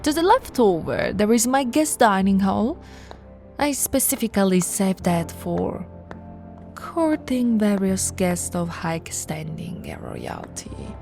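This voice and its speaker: A woman voicing a possessive, seductive, haughty vampire noblewoman in an intimate roleplay, with theatrical drawn-out words and breathy whispers. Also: mid-range voice, very animated, a little mellow, soft background music, exaggerated voice. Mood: haughty, amused. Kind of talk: intimate ASMR audio roleplay.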